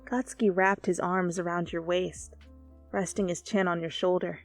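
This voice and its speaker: A woman reading fan fiction as an expressive, dramatic narrator who swears freely. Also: lowish voice, moderate pace, dry recording. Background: soft music.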